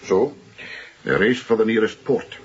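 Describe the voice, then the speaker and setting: Husky, superstitious old-fashioned ship's officer. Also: male voice, on the low side, lo-fi sound. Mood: grave, insistent. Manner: solemn, deliberate storytelling. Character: superstitious, painstaking ship's officer, gloomy and earnest. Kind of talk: radio drama acting.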